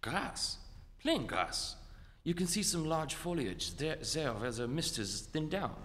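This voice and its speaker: A man playing an uneasy, fatalistic, philosophical space scientist, measured and wry, in a staged old-time radio drama. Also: a little high, very animated, a bit bright, heavily acted. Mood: wry, detached. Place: theatre stage in live performance. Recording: hall reverb, stage recording.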